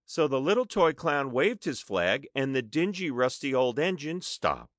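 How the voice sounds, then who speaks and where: A man's voice: medium pitch, sing-song, measured pace, a bit bright, no room sound, clean studio sound. A man narrating a children's story expressively, with dramatic emphasis as an audiobook narrator.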